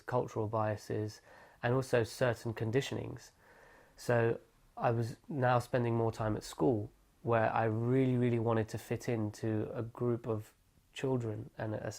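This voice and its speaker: Young man, interviewee, reflective storyteller, gravelly undertone. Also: medium pitch. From England. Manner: thoughtful, self-correcting, reflective.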